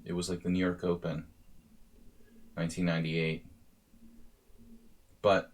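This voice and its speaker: A man's voice: deep voice, completely flat delivery, a little slow. A man streaming live chess analysis as a coach, thinking aloud in a casual way with sudden bursts of emphasis.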